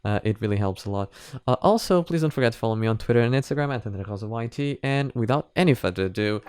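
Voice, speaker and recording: A man's voice: medium pitch, animated, quick pace, a little mellow, clear, resonant tone, no echo. A man casually talking to viewers as a reaction video host, in a rambling, repetitive way with frequent chuckles. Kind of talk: casual reaction commentary to camera.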